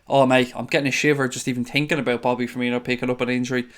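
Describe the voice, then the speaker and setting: A man's voice: medium pitch, level tone, rapid-fire, a bit bright. A man hosting a casual live stream, chatting in a friendly way and greeting viewers by name. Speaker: host of a fan live stream. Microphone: desk microphone.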